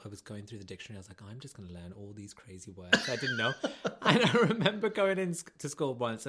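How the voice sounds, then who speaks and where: A man's voice: mid-range voice, background noise. A man co-hosting a podcast in a casual, chatty, enthusiastic conversation. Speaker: podcast co-host. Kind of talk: casual chat.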